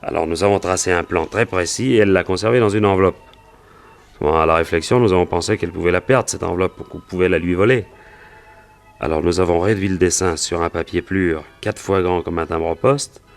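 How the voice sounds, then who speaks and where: A man's voice: deep voice, brisk, creaky, faint music behind. An actor playing a wary, plain-spoken cowboy and gold prospector in a French radio detective drama, telling his story in colloquial speech. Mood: explaining, recalling.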